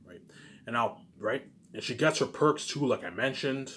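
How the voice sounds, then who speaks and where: A man's voice: medium pitch, animated, normal speed, a bit bright. A man giving a rambling, informal monologue in a boastful, repetitive, emphatic way, swearing now and then. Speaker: vlogger.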